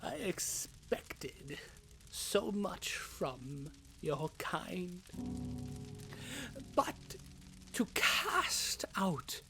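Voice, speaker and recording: A woman playing a sly, mocking schemer in a fantasy audio drama, with theatrical, drawn-out delivery over music. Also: lowish voice, big pitch swings, full character voice. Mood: taunting. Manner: theatrical, drawn out, swooping from whispers to cries. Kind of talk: fantasy audio roleplay acting. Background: music behind the voice.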